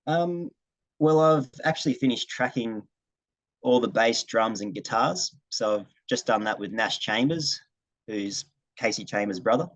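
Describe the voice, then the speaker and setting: A man's voice: mid-range voice, animated, measured pace, no room sound, poor audio. A young Australian man, a singer-songwriter, answering interview questions in a casual way on a video call. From regional New South Wales, Australia. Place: video call, dry room.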